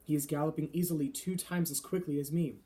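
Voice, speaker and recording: A man voicing a gentle, nervous giant in a children's storybook, speaking softly with quaint muddled grammar and nonsense words. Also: on the high side, steady tone, quick pace, close to an everyday voice.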